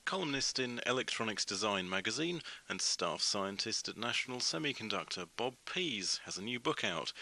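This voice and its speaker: A man presents on radio, reading scripted news and reviews. His voice sits a little low, with plenty of creaky fry and a very bright, forceful edge. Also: poor audio.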